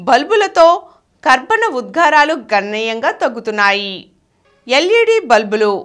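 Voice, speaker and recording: High, lilting woman reading aloud. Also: a bit bright. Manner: formal, phrase-by-phrase reading. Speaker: narrator reading an article aloud. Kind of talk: scripted informational narration, read aloud.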